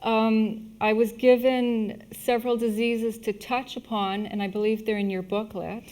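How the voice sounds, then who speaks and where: A woman giving a public health lesson in short, plain segments, pausing for an interpreter. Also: fairly high pitch, level tone, a little mellow. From Canada. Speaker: health lecturer, medical missionary.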